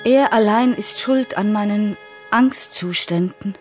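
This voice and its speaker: An actress playing an anxious, accusing wife who feels abandoned, in a radio play monologue. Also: female voice, medium pitch, slow, darkish tone, close to an everyday voice. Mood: wounded, accusing. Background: music.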